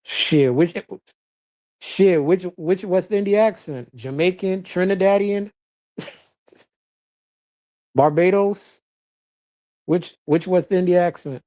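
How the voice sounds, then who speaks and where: An adult live-stream host reading viewer comments out loud and answering them in loose casual chat, laughing often and swearing freely. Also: medium pitch, slow, pauses often. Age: adult with a child, past teens.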